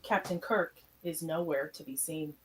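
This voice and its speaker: A woman's voice: low voice, a little slow, rough recording. A woman reading scripted stage directions as the narrator of an amateur radio play.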